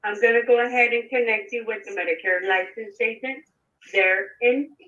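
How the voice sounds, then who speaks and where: A woman caller works through a formal sales script and presses for a clear answer. Her slightly high voice is distant and muffled, like a played-back phone call. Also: steady tone, normal speed, sharp tone. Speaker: telemarketing caller.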